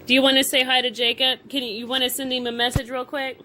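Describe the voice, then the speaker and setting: A woman in her twenties with a bright, slightly high voice and an American accent. She chats casually, asking simple questions and teasingly echoing the answers, with faint noise in the background. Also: flat delivery, fast. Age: 20s. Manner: teasing, repeats answers back. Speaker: vlogger interviewing grandfather.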